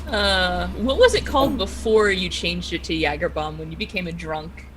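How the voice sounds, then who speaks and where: A woman's voice: fairly low pitch, moderate pace. A woman playing a tabletop role-playing game, teasing the other players. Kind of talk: casual tabletop role-play chat. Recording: noisy mic.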